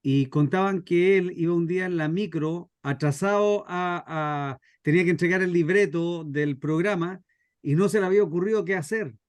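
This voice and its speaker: Dry-sounding Chilean man, slightly high voice. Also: normal speed, no echo. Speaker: scriptwriter, guest instructor. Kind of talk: informal teaching through anecdotes.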